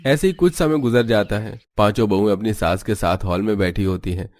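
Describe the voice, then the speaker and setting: Man, low voice, scripted storytelling, narrator. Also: a little fast, a little mellow, dry recording, clean studio sound.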